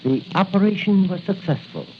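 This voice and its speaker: A man's voice: sits high, lively intonation, brisk. A man playing a cold-blooded crooked financier, menacing and mock-polite in 1940s radio acting style. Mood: cold, gloating. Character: smooth-talking, cold-blooded male crooked financier and murderer. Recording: crackly, muffled 1940 radio recording.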